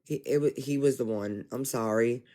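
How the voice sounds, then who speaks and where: A man's voice: medium pitch, moderate pace. Exasperated man ranting to viewers. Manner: animated, exasperated, addresses viewers as y'all. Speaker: commentary streamer. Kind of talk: casual livestream commentary.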